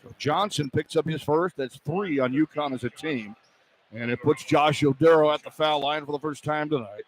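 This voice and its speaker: High-pitched man calling live basketball. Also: moderate pace, low-quality recording. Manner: clipped running phrases, voice rising to shouts on big plays. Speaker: radio play-by-play commentator. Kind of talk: live basketball play-by-play commentary. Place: courtside in a college basketball arena. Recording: live arena broadcast with background noise. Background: arena ambience, faint noise.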